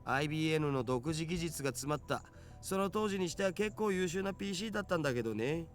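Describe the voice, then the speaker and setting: A man's voice: fairly high pitch, animated, very fast, close to an everyday voice. An actor playing a geeky young male otaku in a visual novel, casually explaining things in slang. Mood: geeky, explanatory. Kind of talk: Japanese visual novel voice acting. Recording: game dialogue with music behind.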